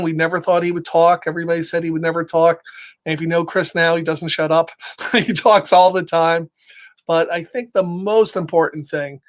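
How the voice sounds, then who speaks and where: A middle-aged man reading a picture book aloud and chatting informally with viewers as a storytime host. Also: fairly high pitch. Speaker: children's story reader. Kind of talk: storytime read-aloud with casual chat to viewers.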